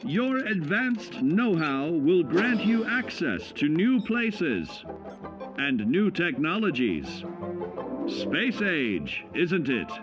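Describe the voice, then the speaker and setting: An actor playing a cheerful, folksy narrator of 1950s-style government survival films, selling each point with measured, upbeat emphasis. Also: male voice, on the high side, sing-song. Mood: upbeat, instructive. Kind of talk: 1950s instructional film narration. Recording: vintage band-limited film sound, music behind. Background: music.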